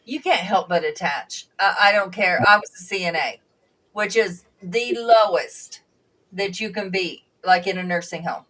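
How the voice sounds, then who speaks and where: A woman whose first language was Bulgarian, a panel guest and former nursing assistant, speaking earnestly and reflectively with halting restarts. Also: lowish voice, fairly bright tone, recorded from a distance, rough recording. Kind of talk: conversational panel talk.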